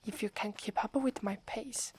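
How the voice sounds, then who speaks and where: A woman with an Italian accent voicing a proud young werewolf woman, whispering softly close to the mic and stammering when flustered. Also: medium pitch, steady tone, normal speed, slight background noise, close to an everyday voice. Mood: impatient. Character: proud young werewolf woman, gruff but secretly fond. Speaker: ASMR roleplay performer. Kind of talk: whispered ASMR roleplay.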